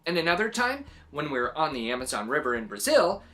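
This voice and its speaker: A woman reading a story aloud to young children in an animated, expressive way, adding little exclamations. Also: low-pitched, fast talker. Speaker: children's story reader and host.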